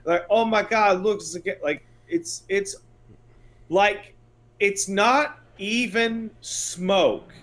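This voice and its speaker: A man's voice: high voice, slow pace, fairly bright tone. A man co-hosting a video game podcast in casual conversation, giving blunt, sarcastic, exasperated opinions.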